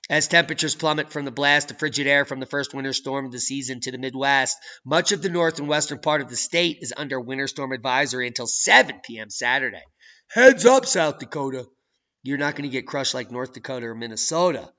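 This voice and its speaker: A man hosting a channel, reading news and weather maps with sarcastic, profane commentary that swings from low mutters to loud outbursts. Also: a little fast, lo-fi sound. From United States. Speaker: channel host.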